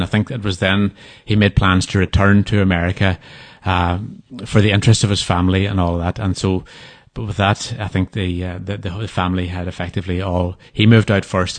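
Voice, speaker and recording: A man talks in a fairly low voice, stopping and restarting often as he recalls details. The sound is close and dry, with little room around it. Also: no room sound. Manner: hesitant, many restarts and fillers. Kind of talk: casual interview answers.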